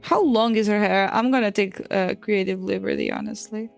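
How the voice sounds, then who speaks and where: A woman's voice: mid-range voice, normal speed, vocal fry, faint music behind. A woman in her twenties, a native Portuguese speaker, chatting casually on a stream while she draws, swearing and laughing often. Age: 20s. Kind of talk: casual chat while drawing.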